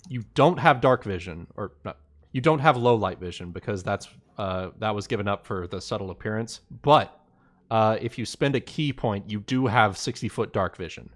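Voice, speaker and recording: A man playing in an online tabletop roleplay session, talking in a measured, deliberate way. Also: on the low side. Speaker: tabletop game player.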